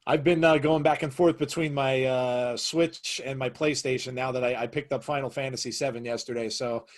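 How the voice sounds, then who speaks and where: A man's voice: medium pitch, steady tone, moderate pace. A man hosting a wrestling podcast, reacting with eager praise and many fillers. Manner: enthusiastic, heavy on praise and fillers. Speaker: podcast host. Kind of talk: casual interview chat.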